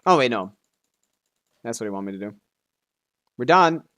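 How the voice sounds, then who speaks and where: A man's voice: mid-range voice, animated, moderate pace, fairly bright tone. Laid-back man chatting on a game stream. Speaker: live streamer playing a video game. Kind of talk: casual gaming stream chat and commentary.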